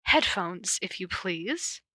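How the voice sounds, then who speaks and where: A woman's voice: fairly low pitch, big pitch swings, slow, pushed and bright, breathy edge, no room sound, full character voice. A woman reading the part of a prickly, suspicious young man in charge, clipped, formal and easily flustered.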